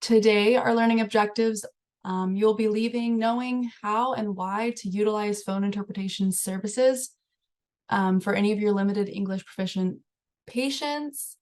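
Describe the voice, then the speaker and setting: A woman's voice: medium pitch, fairly even intonation, moderate pace, a little mellow. Woman introducing a webinar. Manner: reads from notes with "um" fillers. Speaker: research coordinator, webinar moderator. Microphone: computer mic on a video call.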